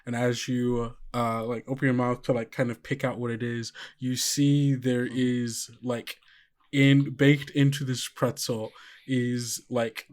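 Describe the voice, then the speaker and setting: A man's voice: mid-range voice, flat delivery, slow pace, a little mellow. A man narrating as game master of a tabletop game, rambling and loose with lots of fillers.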